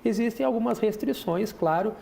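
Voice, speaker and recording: A man's voice: sits high, normal speed, gravelly, mellow, slight background noise. A middle-aged man from Brazil, a chiropractor guest on a TV talk show, explaining patiently with frequent restarts and tag questions. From Rio Grande do Sul, Brazil. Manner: didactic, many restarts and "né" tags. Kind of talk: explanatory interview answers. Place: TV studio.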